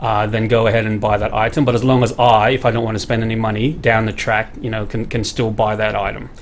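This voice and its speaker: A man's voice: on the low side, little pitch movement, fast, slight background noise. A man hosting a weekly game live stream, full of "um" and "you know", reading viewer comments and steering the chat. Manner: filler-heavy, reads out viewer comments, steers the talk.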